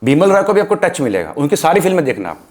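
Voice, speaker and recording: Higher-pitched Indian man, opinionated and emphatic. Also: sing-song, fast, darkish tone. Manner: opinionated, emphatic, mixes English phrases into Hindi. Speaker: film discussion participant. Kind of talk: animated film discussion, casual chat.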